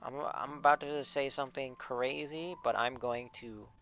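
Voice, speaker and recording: A young man streaming a game with casual, halting, slangy commentary. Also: mid-range voice, steady tone, gravelly, a bit bright, highs cut off, a little hiss or hum. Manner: laid-back, halting, slangy. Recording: game music underneath.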